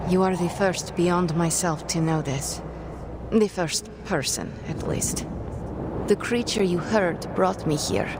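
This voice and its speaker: Guarded, low-voiced young sorceress. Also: female voice, background noise. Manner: formal, measured, brooding wording without contractions. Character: guarded young sorceress, solemn, haunted by her childhood. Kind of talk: dramatic video game acting. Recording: loud music behind the voice. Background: music.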